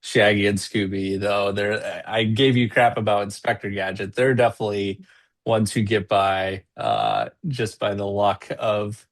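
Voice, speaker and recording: Male webinar host, lowish voice, friendly filler-heavy patter, video call sound. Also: a little slow. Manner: chatty, upbeat, many fillers. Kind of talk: casual webinar hosting.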